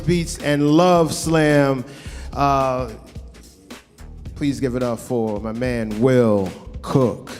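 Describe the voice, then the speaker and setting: A man hosting a poetry slam, loudly introducing the next performers. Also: fairly high pitch, big-room sound. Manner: loud, hyping up the crowd. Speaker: event host.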